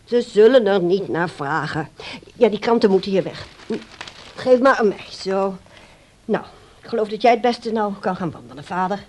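A woman's voice: mid-range voice, moderate pace, slight background noise, lightly acted. An actress playing a fussy, bossy housewife in a radio play, hesitant and nagging as she manages her family. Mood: brisk, nagging. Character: fussy, bossy housewife, daughter of old man.

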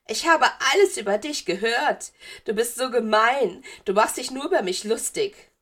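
A woman's voice: on the high side, expressive, normal speed, fairly bright tone, voice pushed up. A woman voicing a whiny, self-pitying, lovesick teenage girl ghost in an audiobook, melodramatic and wheedling. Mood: sulky, offended. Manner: sobbing, wheedling, melodramatic complaining. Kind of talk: audiobook character voice.

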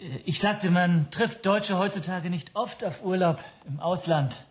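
An actor playing a nervous Hungarian teacher on holiday, making halting, tentative small talk in a radio drama. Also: male voice, high voice, over music.

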